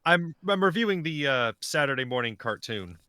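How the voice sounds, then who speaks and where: A man, guesting on a podcast with a bright, tense voice. His recording is dry and close. He rambles with lots of pauses. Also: medium pitch, very animated, no echo. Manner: hesitant, rambling. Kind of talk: casual podcast chat.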